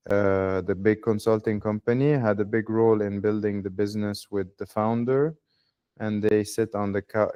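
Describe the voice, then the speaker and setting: Man, low and flat, clean voice; hesitant fund manager on a call. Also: smooth. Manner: hesitant, many fillers, measured. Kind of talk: business pitch on a call.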